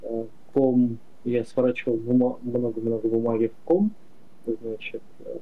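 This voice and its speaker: A man's voice: medium pitch, steady tone. Reflective man on a slightly dull recording. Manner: reflective, pauses with drawn-out hums. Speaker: podcast co-host. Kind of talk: casual reminiscing chat.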